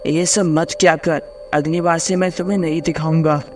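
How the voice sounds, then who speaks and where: A man's voice: a little high, flat delivery, moderate pace, noisy background, close to an everyday voice. A man voicing an earnest, plain-spoken poor schoolboy who studies hard, in a cartoon story. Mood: reproachful.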